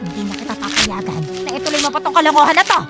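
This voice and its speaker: A woman's voice: sits high, expressive, racing. A woman acting a drunken, bitter middle-aged mother in a Filipino radio drama, scornful and hectoring, with a Filipino accent. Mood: furious, spiteful. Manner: slurring taunts, scornful, hectoring. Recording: music bed under the voice.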